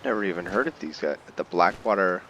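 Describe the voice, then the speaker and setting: A man's voice: low-pitched, animated, fairly quick, highs cut off. A man giving casual, laid-back video game commentary, musing aloud to himself as he plays. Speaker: gamer narrating own video game playthrough. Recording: faint background noise.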